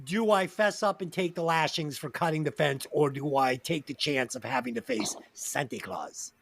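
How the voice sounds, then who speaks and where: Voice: mid-range voice, normal speed, fairly bright tone. A podcast co-host in casual comedy movie-review chat, reading out plot summaries and swearing casually.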